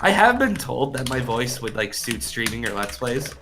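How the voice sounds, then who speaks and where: A man's voice: mid-range voice, very animated, normal speed, sharp tone, soft background music. A man chatting in an easygoing way on a game stream. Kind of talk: casual gaming chat.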